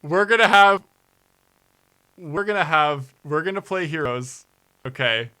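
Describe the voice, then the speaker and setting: A man's voice: on the high side, expressive, a little slow, sharp tone, clean tone, poor audio. A man hosting a charity livestream in casual chat, flustered and laughing as he troubleshoots his audio aloud.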